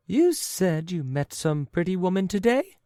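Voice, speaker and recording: A man's voice: big pitch swings, a little slow, warm tone, no room sound, studio quality. A man doing a snappish old wife. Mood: prodding. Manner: high, snappish, interrupting. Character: sharp, impatient old wife.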